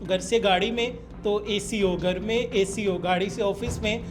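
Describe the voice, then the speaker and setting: A man's voice: sits high, steady tone, measured pace, music in the background. A Pakistani man hosting a podcast interview, speaking politely and respectfully as he presents and asks questions. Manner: polite, addresses guest respectfully.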